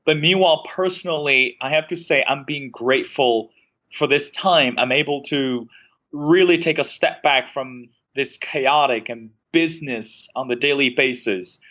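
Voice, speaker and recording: A man's voice: mid-range voice, a little slow, pushed and bright, muffled recording. A man from Beijing, a former journalist, answering interview questions in an upbeat, thoughtful way. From Beijing, China.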